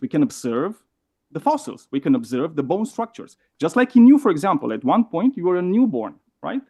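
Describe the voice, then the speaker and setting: A man with a Romanian accent arguing in a heated debate, animated and repeating words, often asking "right?". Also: medium pitch, muffled recording.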